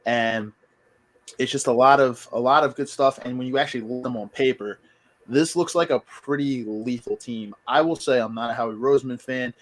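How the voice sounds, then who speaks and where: Man, podcast host, casual sports chat, friendly with the guests, mid pitch. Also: a little fast. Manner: relaxed, talks to the guests as friends.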